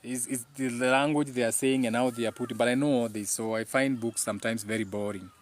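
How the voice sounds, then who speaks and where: A man's voice: medium pitch, steady tone, a little fast, a little hiss or hum. A man with a Kenyan accent and Kikuyu as his first language, speaking informally as the host of a live talk, emphatic and preachy, often repeating his phrases.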